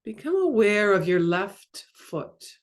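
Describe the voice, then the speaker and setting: Very slow-paced woman guiding meditation. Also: mid-range voice. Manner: calm, measured, with long pauses between short phrases. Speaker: mindfulness coach and meditation guide. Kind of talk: guided body scan meditation.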